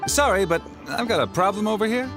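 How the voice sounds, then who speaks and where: A woman's voice: mid-range voice, lively intonation, fairly quick, over music, natural voice. A woman playing an aggrieved merchant in a video game. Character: aggrieved female merchant at a trade expo.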